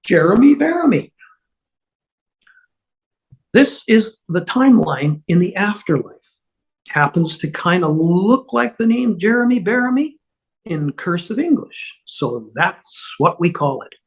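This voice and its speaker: A woman reading the part of a flustered, apologetic male otherworldly schemer, husky and halting as she stammers through explanations. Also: fairly low pitch, big pitch swings, heavily acted, rough recording. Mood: flustered explaining. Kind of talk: amateur script table read.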